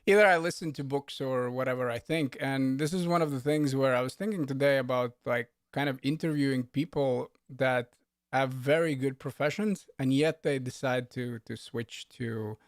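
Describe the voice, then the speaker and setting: A man of medium pitch hosts a casual livestream. He talks in a rambling, stop-start way, restarting phrases, with a serious, matter-of-fact manner. The recording is dry and close. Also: dry recording. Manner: halting, rambling, often restarts and interrupts. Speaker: livestream host, coding instructor.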